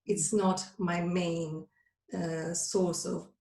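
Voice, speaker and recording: A woman's voice: fairly low pitch, flat delivery, drawn-out words, vocal fry, a little mellow, distant mic. A woman in her forties from Switzerland with a Swiss Italian accent, a guest on a podcast who speaks friendly and sometimes searches for words. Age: 40s. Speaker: guest, face yoga instructor. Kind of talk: casual podcast interview. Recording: roomy sound, voice away from the mic, faint music.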